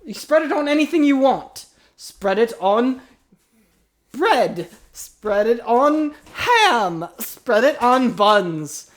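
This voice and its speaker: Woman doing mock radio announcer bit, slow delivery, lively swoopy pitch. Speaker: podcast host and narrator.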